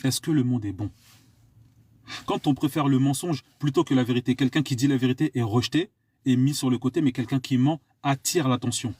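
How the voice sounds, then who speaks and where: A man from France delivers an exhorting sermon, hammering home his point with repeated rhetorical questions. His voice is slightly husky and of middle pitch, recorded dry and close with almost no room sound. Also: brisk, a little mellow, no echo. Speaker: preacher. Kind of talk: live online sermon.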